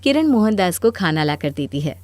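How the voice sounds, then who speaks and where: A woman's voice: fairly low pitch, sing-song, normal speed, a little mellow, dry recording. A woman narrator reading a scripted Hindi cartoon moral story.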